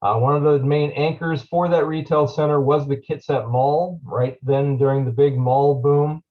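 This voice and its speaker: A man's voice: a little high, fairly even intonation, moderate pace, phone-quality sound. A man who is a deputy county administrator, giving a loose presentation at a public meeting with many ums and uhs. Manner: frequent fillers, loose and conversational.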